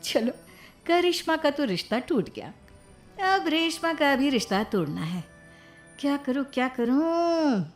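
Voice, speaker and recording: A woman's voice: sits high, sing-song, unhurried, soft background music, pitched above the performer's own voice, full character voice. A woman playing a greedy, jealous, scheming sister-in-law in a storybook drama, in a complaining, plotting manner. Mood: gleeful scheming.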